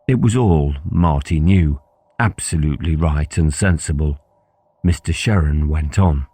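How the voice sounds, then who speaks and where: Deep, dark-voiced male audiobook narrator. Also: unhurried, slightly rough, dry recording. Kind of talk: scripted literary narration.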